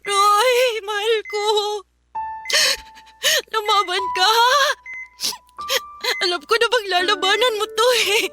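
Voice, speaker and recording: A very high, bright-voiced young woman in a radio drama, tearful and desperate as she pleads for the one she loves. She draws her words out slowly, with music playing behind her. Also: fairly even intonation. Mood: tearful pleading. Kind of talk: Filipino radio drama acting.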